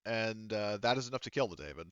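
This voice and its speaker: A man's voice: on the low side, animated, quick pace, fairly bright tone, clean tone, no room sound, dull audio. A man narrating a tabletop roleplaying game as game master in a casual way, thinking aloud and swearing freely.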